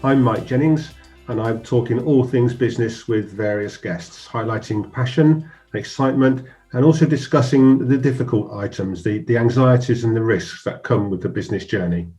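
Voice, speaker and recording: A man's voice: medium pitch, moderate pace, mellow, soft background music. A middle-aged man hosting a business talk show, interviewing a guest in a friendly, hesitant way with frequent restarts and fillers. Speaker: host.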